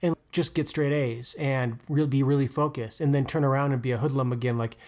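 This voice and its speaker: A man's voice: medium pitch, steady tone, a little fast, darkish tone. A man co-hosting a podcast, chatting about an author's life in a casual, conversational way, with frequent "like" and "uh". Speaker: podcast co-host. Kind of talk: casual book discussion.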